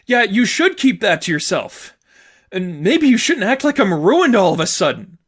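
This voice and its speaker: An exasperated teenage girl, acted out by a man in an audiobook story, wounded and bitter. The pitch is pushed well above a usual male voice. The delivery is emphatic and sarcastic, halting when upset. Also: big pitch swings, fairly bright tone, slightly rough, full character voice. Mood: bitter, indignant. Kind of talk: fan fiction audiobook dialogue.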